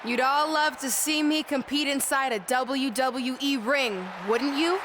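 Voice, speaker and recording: A woman's voice: high-pitched, a little slow. A woman playing a haughty MMA fighter and Hollywood star in a wrestling game, condescending and self-important, with noise behind her. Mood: contemptuous. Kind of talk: wrestling video game promo acting. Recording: clear background noise.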